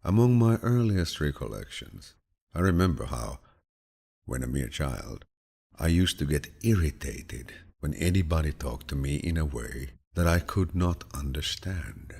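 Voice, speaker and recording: A man reading quotations as an audiobook narrator in a deep, gravelly, husky voice, calm, soothing and grandfatherly in his measured storytelling.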